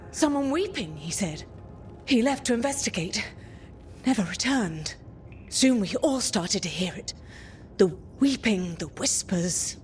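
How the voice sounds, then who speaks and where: A woman playing a weary, haunted dwarf patrol survivor in a video game, halting and solemn. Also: on the high side. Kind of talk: dramatic video game acting.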